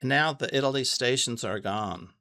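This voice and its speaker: A man giving a casual, unscripted tutorial, thinking aloud haltingly and repeating phrases as he goes. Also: medium pitch, sharp tone, no room sound, studio quality. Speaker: amateur radio tutorial host. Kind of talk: casual unscripted screen walkthrough.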